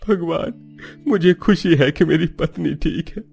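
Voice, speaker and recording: Cartoon role: distressed young father, emotional, raspy high voice with creak. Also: a little slow, darkish tone, soft background music, heavily acted. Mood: anguished. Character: anguished young husband and expectant father.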